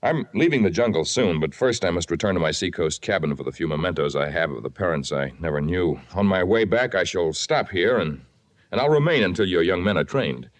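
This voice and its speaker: A man's voice: low voice, animated, a little fast. An actor playing a blunt, noble man of the jungle in an old-time radio adventure drama. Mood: firm, decisive. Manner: blunt, declarative.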